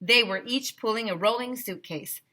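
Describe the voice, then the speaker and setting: A woman teacher reading a children's book aloud in an expressive, emphatic storytelling manner. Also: fairly high pitch, moderate pace.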